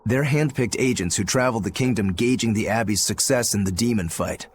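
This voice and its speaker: A man's voice: lowish voice, fairly quick, a little hiss or hum, lightly acted. An actor playing a laid-back, worldly male demon in a game, speaking with dry wit. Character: easygoing adult male demon, wry and worldly.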